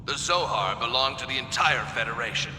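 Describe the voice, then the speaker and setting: Smug senator, piercingly sharp voice. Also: male voice, medium pitch, a little fast, a little hiss or hum. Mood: disdainful. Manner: condescending, insinuating. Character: smug insinuating male senator. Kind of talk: video game cutscene acting. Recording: echoey chamber with long reverb.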